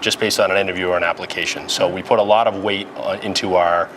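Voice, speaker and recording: Male supervisor, fairly deep voice, informal step-by-step explainer, recorded with background ambience noise. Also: steady tone, fast talker, a bit bright. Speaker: police dispatch supervisor. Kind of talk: explanatory interview and facility tour. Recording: clearly noisy room ambience.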